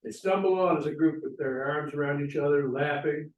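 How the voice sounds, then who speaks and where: A man's voice: on the high side, a little fast, highs cut off. Echoey, distant man reading stage directions. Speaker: stage directions reader at a play table read. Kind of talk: scripted narration. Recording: echoey room, distant mic.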